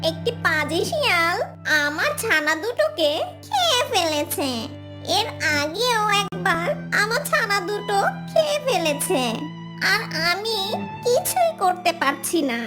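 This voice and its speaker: A woman voicing a small, gentle, sorrowful mother bird in a cartoon, plaintive and imploring. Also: very high-pitched, sing-song, a little slow, heavily acted. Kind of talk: cartoon character dialogue. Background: music.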